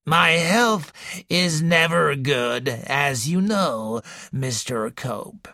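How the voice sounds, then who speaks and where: A wheezing, domineering old woman drags out every word slowly and coldly, as a male narrator puts her on. Her voice has a strained, airy texture and swings widely in pitch. It sounds close and dry, with no room around it. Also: expressive, gravelly, pushed and bright, no room sound, exaggerated voice. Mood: cold, controlling. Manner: slow, cold, controlling. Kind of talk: audiobook character reading.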